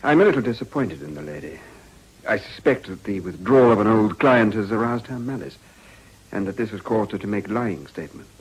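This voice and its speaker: A gossipy, disapproving gentleman politician in a period radio play. His upper-class English voice is husky and highly expressive. He speaks formally, with measured, dryly pointed courtesy. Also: rough recording. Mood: discreet, formal. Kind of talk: British period radio drama acting.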